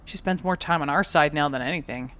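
A woman's voice: low voice, a little fast, fairly bright tone. A woman playing an earnest, dogged moonbase rescuer in a naturalistic audio drama, with a dry manner. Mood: earnest, reasoning.

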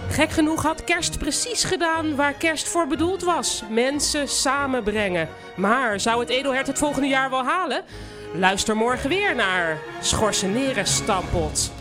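A woman's voice: high-pitched, expressive, measured pace, music in the background. An actress playing an earnest, self-righteous young woman in a live audio drama, narrating and speaking with wry sincerity. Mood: wry, storytelling.

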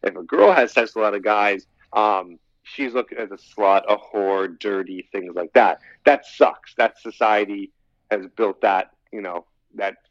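Man in his thirties, low and dry. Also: no room sound. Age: 30s. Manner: talkative, rambling, repeats phrases. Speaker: podcast guest, dating coach. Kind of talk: casual podcast interview. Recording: dull, slightly lo-fi, dry sound.

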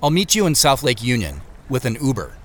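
A man's voice: medium pitch, wildly swooping pitch, a little fast. An actor playing a wry, beleaguered office man on the run, narrating his own audio drama in the first person with understated, muttered asides. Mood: wry, weary narration. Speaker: actor narrating as main character.

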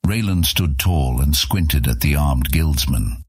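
A man with a deep, husky voice reading scripted fantasy audiobook narration. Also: animated, takes it slowly, dry recording, studio quality.

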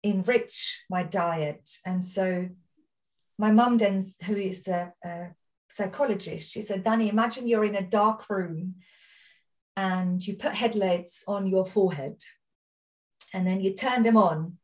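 A woman's voice: mid-range voice, a little slow, darkish tone, recorded from a distance. A woman from Austria with an Austrian accent, a podcast guest talking earnestly in casual chat. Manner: earnest, storytelling.

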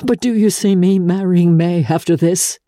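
Audiobook role: woman plays a reckless, lovestruck gentleman, urgent and insistent in formal phrases, with a soft, dark-toned voice. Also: lowish voice, normal speed, no echo, clean studio sound, voice pushed up, lightly acted. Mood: impassioned, insistent. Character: impassioned, reckless engaged gentleman in love. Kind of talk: dramatic literary audiobook reading.

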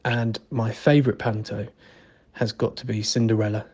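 A man's voice: fairly low pitch, level tone, moderate pace, darkish tone, husky. A British man, a guest contributor, chatting casually and reflectively about his own experiences.

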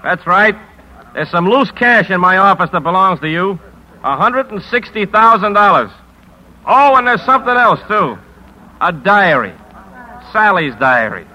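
Forceful, defiant crusading newspaper editor. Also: male voice, high voice, big pitch swings, unhurried, exaggerated voice, rough recording. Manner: forceful, earnest, clipped. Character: crusading, defiant male newspaper editor, weary but principled. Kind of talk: 1950s radio drama acting. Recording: 1950s radio broadcast recording.

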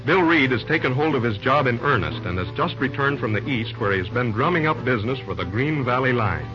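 A man's voice: mid-range voice, animated, fairly quick. A man reading scripted narration as the announcer of an old-time radio serial. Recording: muffled old radio broadcast. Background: prominent music.

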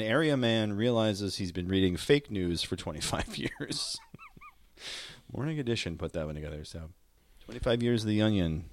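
A man's voice: sits low, no echo. A man co-hosting a podcast in a casual, comedic, rambling and wry way. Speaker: podcast co-host.

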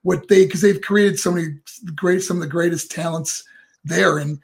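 A middle-aged man with a raspy voice, a guest and former wrestler rambling through stories with lots of fillers. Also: on the high side, fairly even intonation, moderate pace. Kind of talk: casual interview storytelling.

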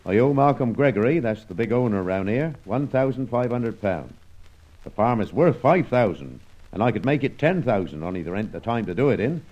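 Worried old rural English farmer, animated. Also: male voice, medium pitch, a little fast, husky. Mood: resigned. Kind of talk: old radio serial acting. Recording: muffled old radio serial recording.

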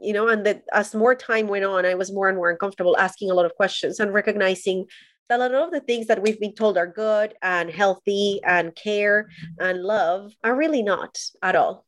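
A woman's voice: mid-range voice, moderate pace, dry recording, studio quality. A woman from Colombia who is a podcast guest, telling her story in an earnest, thoughtful way. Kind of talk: reflective personal storytelling.

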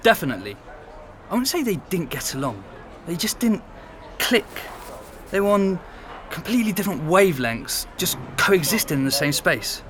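An actor playing a sociable young former housemate, chatty and frank, in a naturalistic audio drama. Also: male voice, sits high, big pitch swings, moderate pace, a bit bright. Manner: chatty, conversational. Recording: faint pub background noise.

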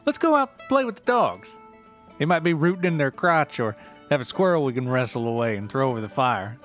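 A man's voice: mid-range voice, big pitch swings, a bit bright, soft background music, pitched above the performer's own voice. Man putting on a folksy Appalachian mountain forager. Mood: eager, folksy. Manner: folksy, halting, drawling rural speech. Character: rustic Appalachian ginseng forager, folksy, aggrieved, sentimental. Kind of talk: mock reality-show character in a narrated story.

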